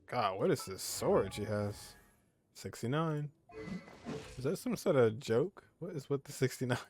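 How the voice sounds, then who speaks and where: A man's voice: medium pitch, lively intonation, measured pace, a little mellow, faint music behind. A man giving informal, reactive gameplay commentary, half talking to himself with frequent exclamations. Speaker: gaming streamer.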